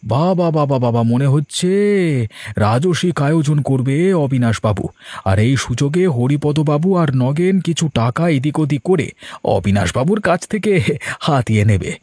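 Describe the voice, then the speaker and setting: A man's voice: on the high side, lively intonation, moderate pace, darkish tone, husky, no room sound, studio quality. A man playing a sarcastic, grumbling poor farmer and long-suffering husband in a cartoon. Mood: incredulous. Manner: sarcastic, complaining. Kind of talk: Bengali cartoon dialogue. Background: background music.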